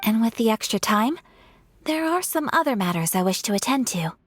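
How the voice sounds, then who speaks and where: A woman voicing a refined, composed young noblewoman in a video game, formal, earnest and polite, with a soft, breathy voice. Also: on the high side, animated, normal speed. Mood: gentle, earnest. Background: background music.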